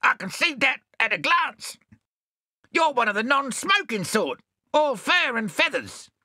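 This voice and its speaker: A shrill cranky parrot swagman. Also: big pitch swings, measured pace, dry recording, full character voice. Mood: cranky, scornful. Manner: shouting, scornful. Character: bad-tempered male parrot swagman.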